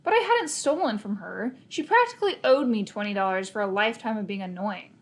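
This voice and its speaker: A woman's voice: medium pitch, animated, fairly quick. A woman narrating a children's novel aloud in the first person, expressively, with emphasis on key words. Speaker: storybook reader.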